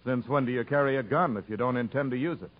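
A man's voice: medium pitch, fast, close to an everyday voice. Guy acting stern fed agent, clipped and bossy, mid voice, old muffly radio play. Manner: authoritative, clipped. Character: hard, curt federal revenue agent, male, commanding. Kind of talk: 1950s radio drama acting. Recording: muffled 1950s radio broadcast recording.

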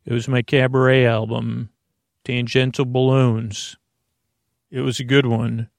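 A man hosting a bedtime podcast in a slow, creaky voice, wandering through gentle tangents and restarting his sentences. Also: mid-range voice, little pitch movement, no echo. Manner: slow, meandering, self-interrupting, gently joking. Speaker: sleep podcast host. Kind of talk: rambling bedtime monologue.

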